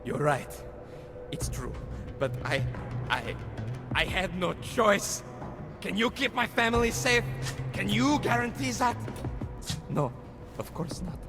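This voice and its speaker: A man's voice: high voice, expressive, slow pace, over music, full character voice. A man voicing a frightened, guilt-ridden informant in a video game, stammering and agitated. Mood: anguished, defiant.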